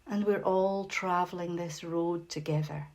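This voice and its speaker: Female meditation facilitator: slightly low voice, very slow gentle delivery, soft and breathy as phrases tail off, generous pauses. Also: fairly even intonation. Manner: gentle, unhurried, with long pauses. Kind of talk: guided meditation.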